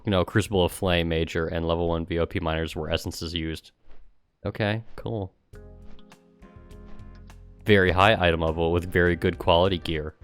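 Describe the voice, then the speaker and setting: Sarcastic low-voiced man streaming over guitar music. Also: clear, resonant tone. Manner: mocking, sarcastic. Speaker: streamer and gamer. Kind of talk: casual livestream commentary with read-aloud.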